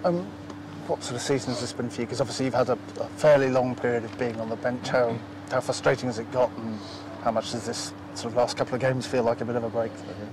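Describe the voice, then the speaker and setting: Male club interviewer, mid-pitched, friendly halting questions, noisy outdoor setting. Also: fairly even intonation. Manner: friendly, hesitant question phrasing. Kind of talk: post-match interview questions. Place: outdoors at a football ground at night. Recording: outdoor ambience with clear background noise.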